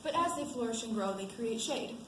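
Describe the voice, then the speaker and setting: A woman plays an exacting, sarcastic lecturer on stage. Her voice is slightly high and pointed, in an echoey hall with clear background noise. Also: animated, fairly quick, close to an everyday voice. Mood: sarcastic, lecturing. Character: sarcastic, exacting college forestry teacher lecturing. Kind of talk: amateur stage acting, classroom lecture.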